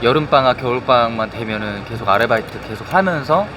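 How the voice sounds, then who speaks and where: A man's voice: medium pitch, lively intonation, fast. A young Korean man answering interview questions earnestly and at length, outdoors by a street. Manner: earnest, talkative. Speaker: interview guest. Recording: distant voice over loud traffic noise.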